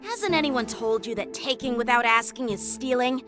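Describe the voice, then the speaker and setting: An earnest young boy warrior in a video game. The voice is high and bright, swinging widely with wounded pride, yet measured in pace. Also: animated, faint music behind. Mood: indignant. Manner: earnest, indignant, formal. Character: proud, earnest teenage boy swordsman.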